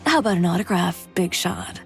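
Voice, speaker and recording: A woman's voice: on the low side, lively intonation, fairly quick, faint music behind. A woman playing a confident, teasing fashion designer in a video game, with an assured, dry delivery.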